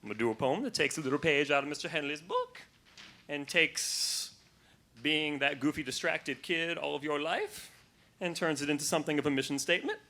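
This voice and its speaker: A young man performing poetry live to an audience, with an emphatic, theatrical delivery and dramatic pauses. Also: on the high side, pushed and bright. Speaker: slam poet performing on stage. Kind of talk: live poetry reading and spoken word to an audience.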